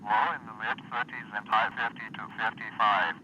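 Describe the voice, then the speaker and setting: A computer-synthesized man reads the weather on an automated radio service, each word set down clipped and even. The voice sits somewhat low but has a hard, very bright edge. It comes through a dull, lo-fi radio recording with a faint hiss behind it. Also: moderate pace. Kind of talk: automated weather broadcast reading.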